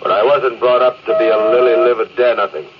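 A man's voice: medium pitch, steady tone, fast talker, lightly acted. Man playing domineering spaceship captain, muffled noisy old radio. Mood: angry, stern. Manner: brusque, commanding. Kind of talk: old-time radio drama acting.

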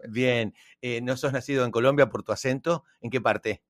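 Male host, Argentine accent, medium pitch, dry recording, conversational, rephrases as he goes. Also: steady tone, normal speed, no room sound. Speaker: show host, interviewer. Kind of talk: conversational interview.

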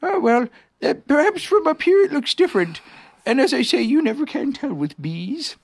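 Someone voicing an earnest, high-pitched little storybook bear. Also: sing-song. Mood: worried. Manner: earnest, musing, halting. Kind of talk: children's storybook character voice.

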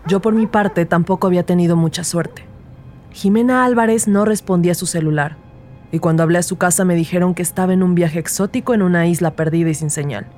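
A woman acting as an earnest young-on-the-job detective, telling her case in an audio drama. Her voice is mellow and rather low, measured and descriptive, with music underneath. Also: lightly acted. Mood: measured, narrating. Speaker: narrator and lead character. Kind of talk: scripted audio drama narration.

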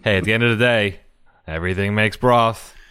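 A man's voice: on the low side, a little fast, sharp tone, smooth. A man, co-hosting a podcast in casual chat, joking and laughing often. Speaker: podcast co-host.

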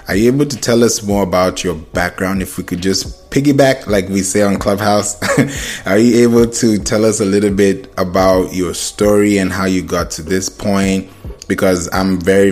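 A man hosting an interview, enthusiastic and affirming as he asks about his guest's story. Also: deep voice. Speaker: podcast host. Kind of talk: conversational interview.

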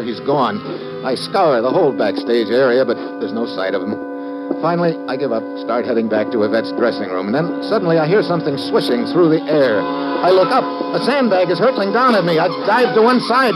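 A man's voice: on the high side, lightly acted, poor audio. An actor playing a tough, wisecracking government agent who tells his own case in a vintage radio drama, with clipped, world-weary delivery. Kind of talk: 1940s-50s radio detective drama acting and hard-boiled narration. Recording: vintage radio recording with music.

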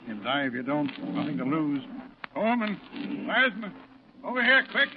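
An actor playing a blunt, harried American military doctor with clipped speech, in 1940s radio style. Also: male voice, fairly high pitch, sing-song, normal speed. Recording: thin, tinny old radio broadcast.

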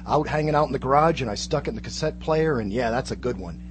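Quick-talking male co-host over noticeable hum. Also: mid-range voice, lively intonation. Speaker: guest co-host, old-time radio fan. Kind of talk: casual chat. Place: radio studio. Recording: clear hum and background noise.

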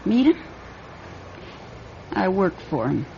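An actress playing a tough, streetwise young hostess who talks bluntly in slang, in an old-time radio detective drama. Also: female voice, mid-range voice, big pitch swings, slow, vocal fry, warm tone, a little hiss or hum, heavily acted. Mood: frank. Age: young adult. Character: tough, streetwise young nightclub hostess, guarded but wounded. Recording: dull radio recording, music behind.

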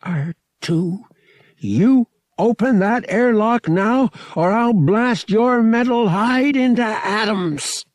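A man's voice: sits high, slow, dry recording, clean studio sound, pitched above the performer's own voice. A man voicing a tough, impatient young space pilot who speaks curtly and gives orders under strain. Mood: desperate, angry. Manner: curt, commanding.

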